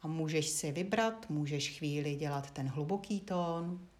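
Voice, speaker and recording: A woman with a fairly low voice leads a guided movement lesson. She speaks as an instructor, giving short directions and leaving frequent pauses between them. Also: darkish tone. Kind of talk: guided Feldenkrais body-awareness lesson, spoken instructions.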